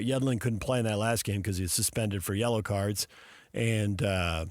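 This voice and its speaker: A man's voice: medium pitch, dry recording, studio quality. A man with an American accent analysing sports conversationally as a radio host, explaining at length with emphasis. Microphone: close studio microphone.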